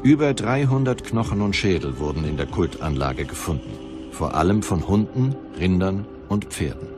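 A man's voice: deep voice, animated, normal speed. A man narrating a documentary from a script. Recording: music behind the voice.

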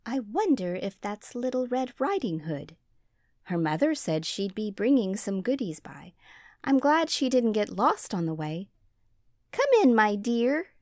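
A kindly old granny from a fairy-tale puppet show, acted by a woman. Her voice is lively and a little fussy, rising and falling a lot, with a close, dry sound. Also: medium pitch, measured pace, dry recording. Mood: kindly, musing.

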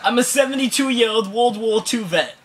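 Casting: cranky elderly male veteran on a prank call acted by a young man, clear vehicle rumble behind. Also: normal speed. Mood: indignant. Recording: noisy moving bus, engine rumble.

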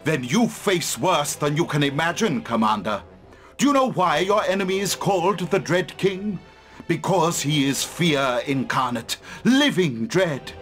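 Fantasy game doomsayer: higher register, dramatic, ominous, portentous. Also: male voice, expressive, fairly bright tone, faint music behind. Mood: grave warning. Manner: portentous, drawn-out emphasis. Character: ominous, dramatic seer warning of evil. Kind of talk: fantasy video game cutscene acting.

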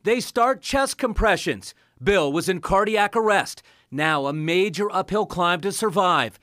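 A man's voice: high-pitched, lively intonation, measured pace, fairly bright tone, dry recording, clean studio sound. A male news reporter reading a scripted voice-over with emphatic storytelling delivery.

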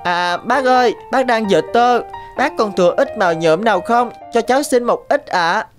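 A woman's voice: a little high, normal speed, close to an everyday voice. A woman voicing a naive, eager young stick insect in a cartoon fable, childlike and earnest. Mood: eager, pleading. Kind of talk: animated fable dialogue. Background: prominent background music.

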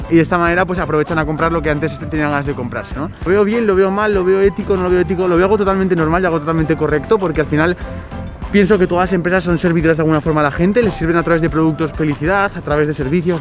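Young man, quick chatty vlog talk, slightly high voice, background music. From Spain. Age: 20s. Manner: fast, chatty explaining to viewers. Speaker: vlogger. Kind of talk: casual vlog talk to camera. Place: car, parking lot, then room at home. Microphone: handheld camera at arm's length.

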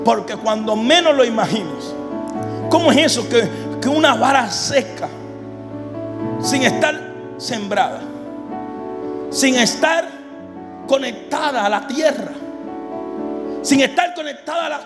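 A man in his thirties with a Dominican accent, preaching a fervent sermon into a handheld mic, swinging between shouts and hushed lines. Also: very high-pitched, lively intonation, a little slow, pauses often, big-room sound, over music. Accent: Dominican Spanish. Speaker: pastor. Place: large church stage with an audience. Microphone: handheld microphone.

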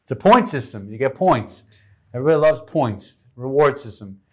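A man's voice: mid-range voice, very animated, moderate pace, a little mellow, slightly rough. Enthusiastic rambling male wine show host. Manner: enthusiastic, rambling with many likes. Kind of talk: casual wine tasting chat. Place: studio set with vineyard backdrop. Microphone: lapel mic.

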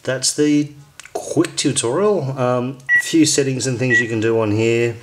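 A man's voice: mid-range voice, fairly even intonation, a little slow, background noise. A man talking casually through a how-to demonstration in an informal, explanatory way. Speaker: amateur tutorial maker.

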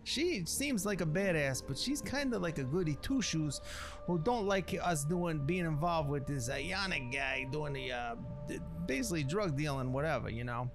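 A man's voice: on the high side, lively intonation, moderate pace. A man hosting a gaming video, giving casual roleplay commentary in folksy, slangy storytelling. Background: music and game audio.

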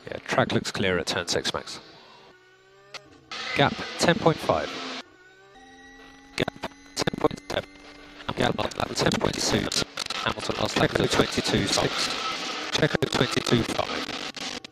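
A race engineer reads out gaps and setup advice in a calm, methodical voice of middle pitch. The sound is compressed team radio, and loud music plays over it. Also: male voice, takes it slowly, vocal fry, long pauses, low-quality recording. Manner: calm, methodical, clipped updates.

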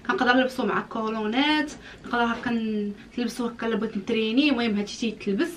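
Young female lifestyle vlogger, Moroccan accent, highish voice, very quick informal chat, filmed in a bedroom. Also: lo-fi sound. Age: 20s. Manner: informal, chatty, mixes in French loanwords. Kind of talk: casual chatty vlog talk to camera. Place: indoors in a bedroom at home. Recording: home recording with clear background noise.

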